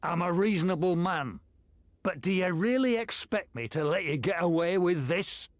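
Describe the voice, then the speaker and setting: High-pitched blunt game general. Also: male voice, animated, normal speed, slight background noise. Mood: firm. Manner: blunt, taunting, colloquial. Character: gruff, stubborn general, loyal to his oath, battle-ready. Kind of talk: video game cutscene acting. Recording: loud music behind the voice. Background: loud game music.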